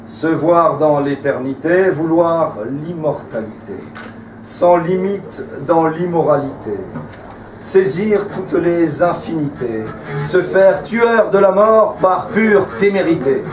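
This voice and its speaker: Slow-paced man reciting slam poetry, roomy sound. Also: a little high, over music. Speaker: slam poet. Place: live slam poetry evening, in a room. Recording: roomy, distant mic, faint noise.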